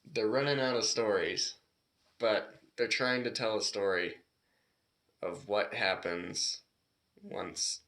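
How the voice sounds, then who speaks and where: Lowish voiced guy from california, easygoing podcast chat. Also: a bit bright. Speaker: podcast co-host. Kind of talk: casual chat.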